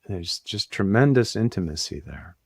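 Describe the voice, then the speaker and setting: A man hosting a reflective conversation, talking slowly and thoughtfully and searching for his words as he goes. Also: on the low side, expressive, a little mellow, breathy edge, no room sound. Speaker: host, therapist.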